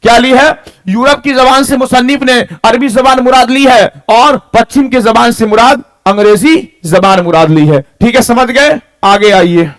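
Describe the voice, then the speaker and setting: A man's voice: extremely high pitch, moderate pace, fairly bright tone. A man teaching an exam-prep lesson, reading out questions and answers, repeating them and checking that students follow.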